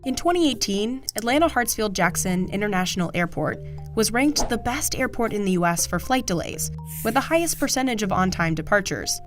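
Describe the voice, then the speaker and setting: Female narrator, lively intonation, scripted documentary read with light music underneath. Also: medium pitch, a little fast.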